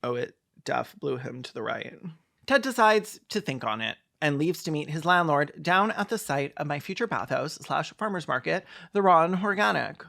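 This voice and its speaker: A mid-pitched commentator picks a film apart with open sarcasm. The delivery is campy and theatrical, built on mockery and comic exaggeration. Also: animated, normal speed, gravelly, a bit bright. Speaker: video commentator.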